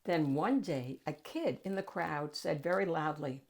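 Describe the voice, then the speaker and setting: Animated woman reading a picture book. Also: low voice, creaky. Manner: dramatic pauses, drawn-out key words, addresses young listeners. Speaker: read-aloud host. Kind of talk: expressive picture book read-aloud.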